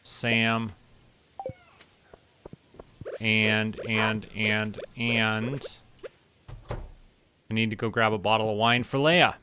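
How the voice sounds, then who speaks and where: A man doing relaxed, casual game commentary, thinking aloud and muttering to himself in an unhurried way. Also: on the low side, slight background noise. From Canada. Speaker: game streamer.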